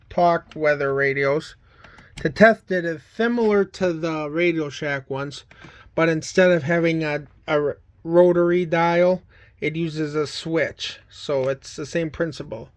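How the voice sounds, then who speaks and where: Slow-paced man giving casual show-and-tell. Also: a little high. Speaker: collector showing weather radios.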